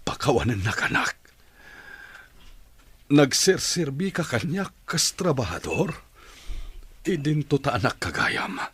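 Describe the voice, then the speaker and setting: A man playing a remorseful older father and employer in an Ilocano radio drama, with a husky voice and emotional delivery. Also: medium pitch, very animated, measured pace, long pauses, fairly bright tone, full character voice. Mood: remorseful, urging. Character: remorseful older father, employer seeking his lost son.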